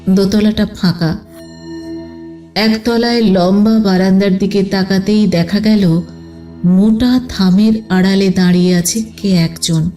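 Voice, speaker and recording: Slow-paced woman narrator over music. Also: medium pitch, steady tone, dark tone. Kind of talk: scripted detective story narration.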